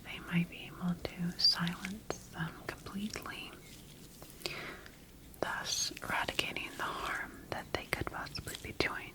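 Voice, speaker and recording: A woman whispering breathily in an ASMR sci-fi roleplay as a scientist studying her creation, speaking deliberately with pauses. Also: fairly low pitch, fairly even intonation, rough recording. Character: quietly rogue female scientist studying her engineered creation. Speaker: ASMR performer.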